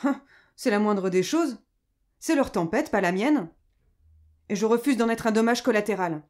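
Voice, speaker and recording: A woman's voice: mid-range voice, expressive, normal speed, a little mellow, close to an everyday voice. A woman playing an indignant, haughty businesswoman who answers cuttingly, in a French audio drama. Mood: defiant. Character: defiant, indignant businesswoman under police questioning.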